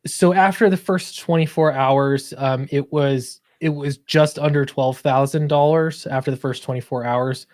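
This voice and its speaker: A man's voice: mid-range voice, fairly even intonation, normal speed, few pauses, a little mellow, no echo. Upbeat man chatting casually, dry close sound. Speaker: guest comic book creator. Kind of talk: casual interview chat.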